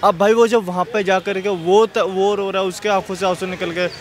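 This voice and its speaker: Halting, high-pitched male street interviewee. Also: little pitch movement. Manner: halting, circles back over phrases. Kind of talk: casual opinion in street interview.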